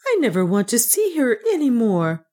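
A woman's voice: on the high side, huge pitch swings, moderate pace, mellow, voice pushed up. A woman voicing a proud young woman who is frightened, tearful and pleading in an audiobook adventure. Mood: upset, dismissive. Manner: faint, emotional, pleading.

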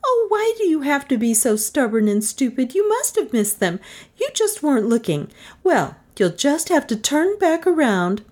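Woman voicing an impish, high-pitched young woman. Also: very animated, moderate pace, darkish tone. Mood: scolding. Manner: teasing, exclamatory, scolding. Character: impish, sharp-tongued young woman, easily alarmed. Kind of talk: audiobook character dialogue.

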